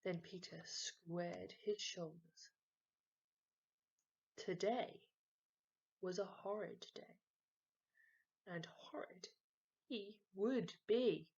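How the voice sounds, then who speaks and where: A woman narrating a children's story expressively, with emphatic delivery and dramatic pauses. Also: on the low side, a little mellow, breathy edge, lo-fi sound.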